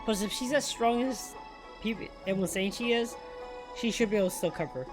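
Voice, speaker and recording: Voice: medium pitch, fairly quick. A game streamer giving casual, informal reaction commentary and thinking aloud during a playthrough. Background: game music.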